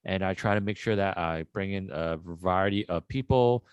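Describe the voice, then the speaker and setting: A man hosting a casual podcast interview, rambling and relaxed with many fillers. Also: low-pitched, normal speed, clean tone, no room sound, dull audio. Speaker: podcast host.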